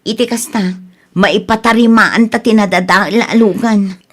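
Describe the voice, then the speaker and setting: A woman's voice: medium pitch, fairly even intonation, normal speed, close to an everyday voice. A woman playing a worried, scolding but practical wife in an Ilocano radio drama, with an exasperated, admonishing manner. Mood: resigned, practical.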